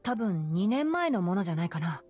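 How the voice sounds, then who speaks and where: A woman voicing a calm, knowledgeable young male scholar in an anime game, speaking in a composed, explanatory way. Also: mid-range voice, a little fast, close to an everyday voice. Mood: calm, explaining. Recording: game music under the voice. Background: game music.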